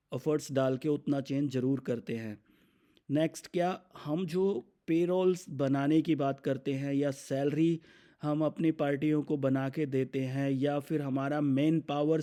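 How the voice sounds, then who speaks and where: Mellow-voiced Indian man presenting software. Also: on the high side. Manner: explanatory, mixes English terms into his talk. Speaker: software demonstrator for his company. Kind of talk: unscripted product demo walkthrough.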